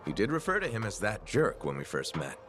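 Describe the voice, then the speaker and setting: A man's voice: on the low side, huge pitch swings, a little fast, music in the background. A man playing a plain-spoken, wry and empathetic mercenary hero in a video game, talking in a casual, conversational way. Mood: wry, teasing. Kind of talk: video game dialogue acting.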